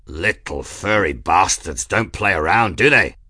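A man voicing a burly, rough-mouthed male airship crewman in an audiobook, with a blunt, cheeky manner. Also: low voice, animated, a little slow, sharp tone, dry recording, studio quality, exaggerated voice. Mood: awed cursing.

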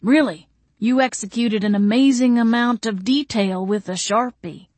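Woman voicing a slow, genteel old gentleman ghost. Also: fairly high pitch, no echo, voice pushed up, natural voice. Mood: gently teasing. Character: courtly, amiable elderly male ghost shopkeeper.

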